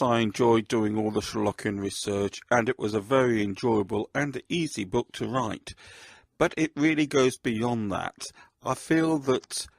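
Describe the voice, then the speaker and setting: A man speaking as an author and host, talking reflectively about his own books in a measured, deliberate, gravelly and husky voice. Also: lowish voice, dry recording, studio quality.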